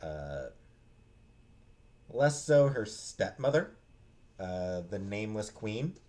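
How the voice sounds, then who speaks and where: A man giving a casual, unscripted book talk in a halting way, searching for his words. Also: lowish voice, big pitch swings, a little mellow. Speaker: book reviewer, host.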